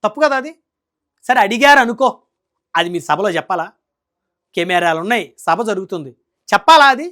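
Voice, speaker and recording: A man's voice: high-pitched, animated, brisk, long pauses, bright, forceful tone. A man giving opinionated political news commentary in an emphatic, scolding manner, full of rhetorical questions.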